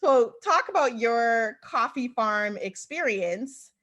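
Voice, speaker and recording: A woman from the Bahamas hosting a podcast interview, enthusiastic and quick to laugh, over a video call. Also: on the high side, animated, fairly bright tone. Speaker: podcast host. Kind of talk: casual interview chat.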